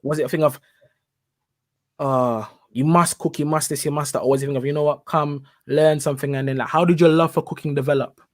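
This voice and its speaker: A man hosting a relaxed interview series. He speaks in a fairly high voice and sounds lively, repeating words for emphasis. The sound is close and dry. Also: fairly quick, no room sound. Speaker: host.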